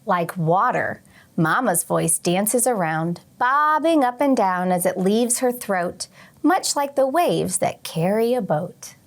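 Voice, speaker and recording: A woman teacher reading a picture book aloud to young children expressively, pausing for short teaching asides. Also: medium pitch, lively intonation. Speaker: teacher and read-aloud host.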